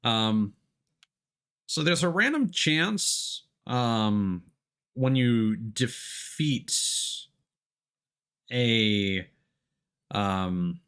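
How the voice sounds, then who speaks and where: A man's voice: mid-range voice, lively intonation, drawn-out words, pauses often, fairly bright tone, smooth. A man giving live let's play commentary as a streamer, reading game text aloud and adding hesitant explanatory asides.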